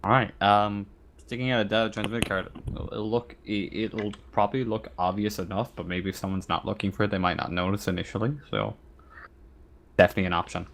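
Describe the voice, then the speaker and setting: A man improvising narration as game master of a tabletop roleplaying game, speaking informally and haltingly. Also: lowish voice, steady tone, a bit bright, a little hiss or hum.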